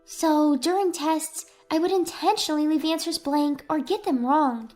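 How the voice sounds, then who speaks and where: A woman plays a gifted young schoolboy in a narrated story. He is high-voiced, smug and bored by how easy everything is, with faint music behind him. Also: normal speed, a little mellow, natural voice. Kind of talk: storybook character dialogue.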